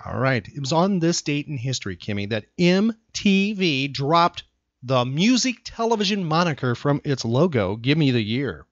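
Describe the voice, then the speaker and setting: A man hosting a podcast in casual banter with a co-host, talking in an animated, teasing way and repeating himself for emphasis. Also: on the high side, moderate pace, no room sound, muffled recording, soft background music.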